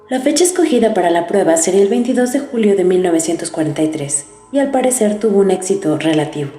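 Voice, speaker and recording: Woman narrating a script, warm dark tone, roomy echo, music underneath. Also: medium pitch. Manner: even, read-aloud delivery.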